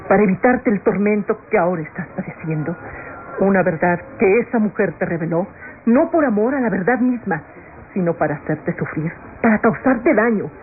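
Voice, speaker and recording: A woman's voice: mid-range voice, animated, moderate pace, slight background noise, lightly acted. An actress with a Latin American accent playing a loyal, protective female friend in a classic radionovela, earnest and emphatic in a melodramatic way. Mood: indignant. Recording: tinny old radio drama recording. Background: dramatic music.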